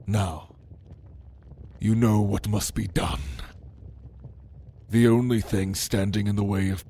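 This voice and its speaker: Man playing a slow, cold, commanding demon lord. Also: fairly low pitch, a little mellow, breathy edge, heavily acted. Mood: satisfied, commanding. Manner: slow, measured, imperious. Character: commanding, cold, calculating male demon lord mentoring a newborn. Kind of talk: ASMR fantasy roleplay acting. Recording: noisy background ambience.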